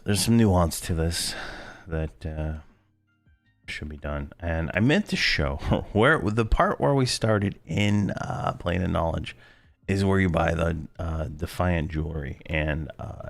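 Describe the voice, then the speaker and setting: Very deep-voiced hoarse man narrating gameplay. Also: no room sound. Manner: rambling, filler-heavy, laid-back. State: hoarse, losing his voice. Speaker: game video host. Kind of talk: casual gameplay commentary.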